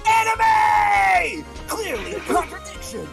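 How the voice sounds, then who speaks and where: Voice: very high-pitched, big pitch swings, slow, creaky, music in the background, voice pushed up, full character voice, low-quality recording. Amateur putting on a brash, swooping defense attorney. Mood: triumphant, accusing. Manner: emphatic, shouting objections. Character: brash, accusing young male defense attorney.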